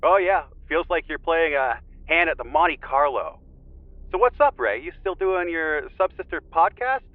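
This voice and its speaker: Easygoing Zen teacher, band-limited call. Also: male voice, fairly high pitch, animated, moderate pace, no echo, phone-quality sound. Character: mellowed male ex-contestant turned Zen teacher, gently teasing. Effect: remote call filter. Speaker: podcast guest. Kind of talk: audio drama phone interview.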